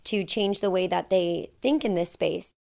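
A woman's voice: fairly low pitch, normal speed, gravelly, a little mellow. A woman interviewing a guest on the radio, asking curious questions and giving short encouraging replies.

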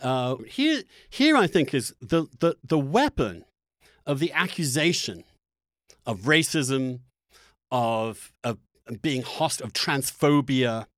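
A middle-aged man in an opinionated political discussion, halting and repeating words as he builds his arguments. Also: medium pitch, very animated, fairly bright tone, no echo, clean studio sound.

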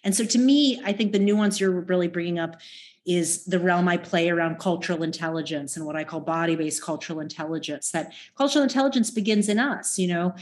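A woman's voice: on the low side, darkish tone. A woman explaining ideas in a reflective, conversational way, emphatic and given to rhetorical questions and voiced inner dialogue.

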